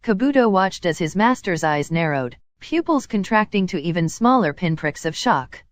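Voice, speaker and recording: A woman's voice: on the low side, lively intonation, moderate pace. A woman narrating a piece of fan fiction as a scripted audiobook-style reading.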